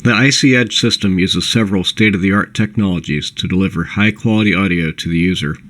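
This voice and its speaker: Low-pitched man narrating a corporate presentation. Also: a little fast, fairly bright tone. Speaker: presenter of a company product overview.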